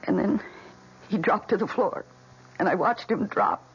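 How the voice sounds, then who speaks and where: A woman's voice: mid-range voice, lively intonation, a little slow, exaggerated voice. A woman with an American accent playing a fragile, obsessive young housewife in a radio drama, with intense, dramatic delivery. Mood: dark, obsessive. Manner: intense, dramatic, breathy emphasis. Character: fragile, obsessive housewife confessing her killer plants' deed. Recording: dull, noisy old radio recording.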